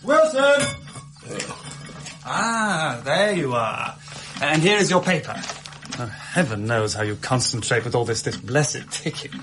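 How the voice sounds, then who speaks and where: An actor playing a smooth-talking assistant in a radio drama, coaxing and theatrical. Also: male voice, mid-range voice, sing-song, measured pace, noisy background. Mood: brisk, exasperated. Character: smooth-talking, persuasive, crafty pawnbroker's assistant. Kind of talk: British radio drama acting.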